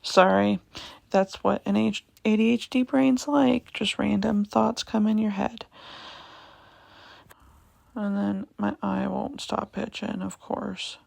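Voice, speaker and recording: A young woman with a raspy voice giving a casual vlog voiceover, hesitant, with many ums, and repeating herself. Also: medium pitch, steady tone, slow pace, darkish tone, no echo. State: raspy voice, going in and out. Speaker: vlogger.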